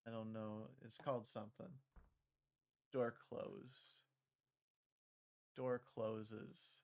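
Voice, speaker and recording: A man chatting casually on a livestream, halting and muttering to himself as he works. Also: lowish voice, flat delivery, vocal fry, a little mellow, no room sound. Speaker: streamer, visual novel creator. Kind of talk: casual livestream chat with read-aloud of own script.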